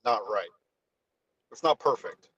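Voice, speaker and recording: A man with a medium-pitched voice banters casually in a group chat. His audio is a little dull and lo-fi. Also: lively intonation, measured pace, a bit bright. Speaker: community member in the chat.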